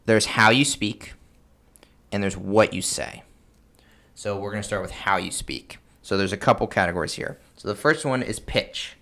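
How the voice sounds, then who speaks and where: A man's voice: on the low side, normal speed, creaky, pushed and bright. A man hosting a podcast, explaining things in a casual, chatty way. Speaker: podcast host.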